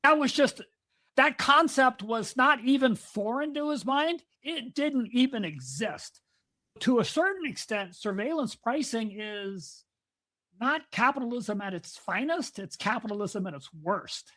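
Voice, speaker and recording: A woman's voice: on the high side, lively intonation, unhurried, fairly bright tone. Talkative woman podcast co-host. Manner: talkative, explains ideas at length, digresses. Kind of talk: casual podcast discussion.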